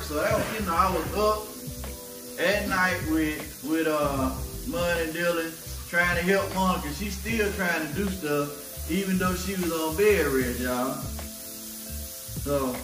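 A man in his forties chats easily while cooking at home, his voice a little high for a man. He is caught by a camera across the room, so the kitchen echoes around him with music underneath. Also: a little slow, far from the mic. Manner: laid-back, repeats words, stumbles and restarts. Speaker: vlog co-host, husband. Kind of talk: casual chat while cooking. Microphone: far camera.

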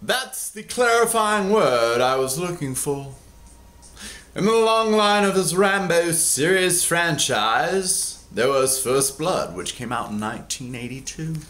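A man in his forties from Kansas reads his memoir aloud into a close webcam in a small room. His voice sits high and leaps around freely, and he takes his time. He delivers the lines with sardonic, theatrical bite, breaking into loud outbursts for emphasis. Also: animated, takes it slowly. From Kansas, USA. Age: 40s. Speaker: author reading his own book. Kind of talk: dramatic memoir reading. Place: small indoor room at home.

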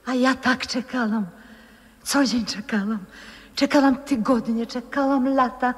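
Elderly ex-singer, wistful, poetic, unhurried, 1960s radio drama, long hall reverb. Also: female voice, a little high, fairly even intonation. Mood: tender longing. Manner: poetic, theatrical, hushed and breathy. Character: frail old former opera singer, adoring, lovelorn, wistful.

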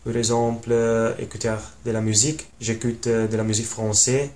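A man in his twenties with an Italian accent, introducing himself in a learner's halting way with many pauses and fillers. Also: medium pitch, level tone, darkish tone. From Italy. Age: 20s. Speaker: language learner. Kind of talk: casual self-introduction. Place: small room at home.

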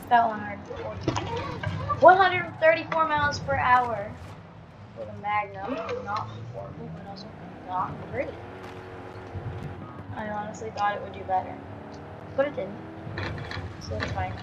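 A woman's voice: mid-range voice, unhurried, pauses often, muffled recording. A tired woman with a scratchy voice chatting casually through a racing game session. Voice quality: scratchy morning voice. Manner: chatty, thinks aloud, counts down launches. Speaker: gaming channel host. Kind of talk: casual gameplay commentary. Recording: loud car engine game audio behind. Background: racing game engine noise.

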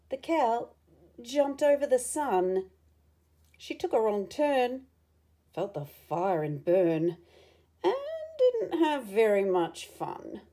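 A woman reading a picture book aloud to children, with expressive, dramatic emphasis and asides to the listener. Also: mid-range voice, slightly rough.

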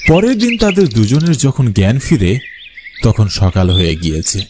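A man's voice: medium pitch, sing-song, moderate pace, background noise. A man reading a scripted horror story as its narrator, with music behind him. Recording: loud music under the voice. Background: loud background music.

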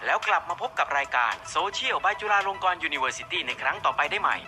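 A man's voice: fairly high pitch, lively intonation, brisk. A man hosting a TV program, reading his lines in a formal, polite announcer manner. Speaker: TV program host. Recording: loud music under the voice.

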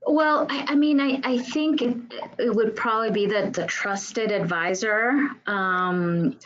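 A woman who works in human resources, answering on a webinar panel in a thoughtful, halting way. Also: on the high side, a bit bright. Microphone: video call. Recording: lo-fi video call audio.

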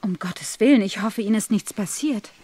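An actress playing a polite, earnest and anxious young governess in a radio play. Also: female voice, mid-range voice, normal speed, mellow, close to an everyday voice. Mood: concerned. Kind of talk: German audio drama acting.

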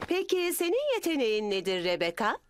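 High, very animated gazelle schoolteacher. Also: female voice, a little fast. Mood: encouraging. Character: kindly, encouraging female gazelle schoolteacher. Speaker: teacher. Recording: noisy background.

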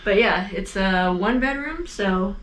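A woman's voice: mid-range voice, animated, a little slow, a bit bright. A woman in her thirties chatting casually and appreciatively while touring a hotel room. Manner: enthusiastic, appreciative. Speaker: co-host. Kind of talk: casual chat. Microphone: handheld action camera. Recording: noisy handheld camera audio.

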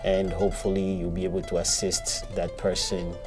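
A man from Ghana, interviewed, explaining a community program in a measured way. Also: low voice, completely flat delivery, darkish tone, soft background music. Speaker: community member. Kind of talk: interview testimony.

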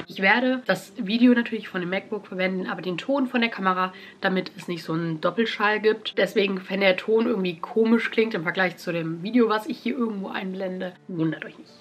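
Chatty young woman with a stuffy cold. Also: medium pitch. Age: young adult. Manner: chatty, animated, exclaiming. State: nasal from a cold. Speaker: YouTuber. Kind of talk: casual vlog chat. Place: bedroom at home.

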